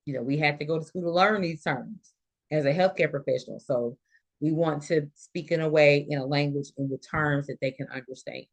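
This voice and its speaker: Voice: medium pitch, a little fast, low-quality recording. A nursing instructor giving an informal live lecture to students, explaining points and illustrating them with quoted examples.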